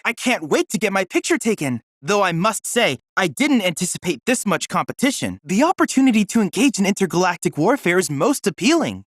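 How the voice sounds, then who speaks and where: A young android research assistant in a video game, courteous and earnest. His speech is formal and precise, with lively rises and falls in pitch. The sound is dry, with no room around it. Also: dry recording, exaggerated voice. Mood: curious, eager. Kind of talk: scripted video game dialogue.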